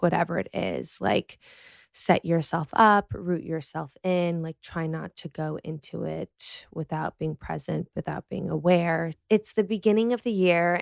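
A woman's voice: lowish voice, creaky, no room sound, clean studio sound. A woman in her thirties hosting a casual solo podcast, talking in a reflective, easygoing way, a bit congested from a cold. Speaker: podcast host. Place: dim bedroom.

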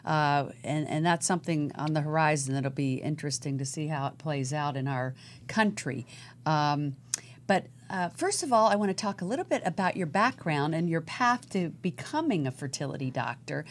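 A woman hosting a talk radio interview show, upbeat and chatty, often pausing on "uh" between thoughts. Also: deep voice, lively intonation. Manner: upbeat, warm-toned interjections, frequent filler "uh".

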